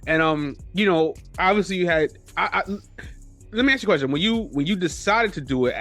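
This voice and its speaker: A man's voice: high-pitched, expressive, fairly quick, a bit bright, soft background music. A man with a New York accent hosting a podcast, asking long, rambling questions and eagerly affirming his guest. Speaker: podcast host and producer. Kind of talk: casual interview talk.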